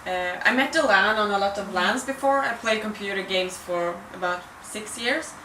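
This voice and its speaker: A woman's voice: medium pitch, moderate pace, bright, forceful tone, a little hiss or hum. Hesitant Swedish woman speaking English. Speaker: pro gamer. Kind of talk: casual interview. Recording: echoey room, mic at a distance.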